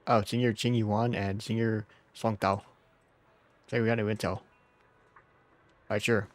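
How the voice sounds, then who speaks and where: A man's voice: lowish voice, little pitch movement, unhurried. A man who is under the weather, streaming a game with informal, jokey commentary and reading out story text. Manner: informal, jokey, often chuckling. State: sick.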